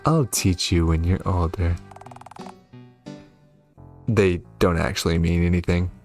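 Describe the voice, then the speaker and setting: A man voicing a weary witch mother in a game, measured and dry, coolly indulgent with faint exasperation. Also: sits low, fairly even intonation, a little mellow, faint music behind. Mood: resigned.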